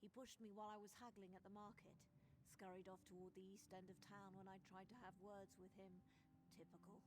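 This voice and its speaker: A woman acting as a chatty market townswoman in a fantasy game. She is prim, gossipy and easily indignant, speaking expressively at a medium pitch. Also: level tone, brisk, background noise, lightly acted.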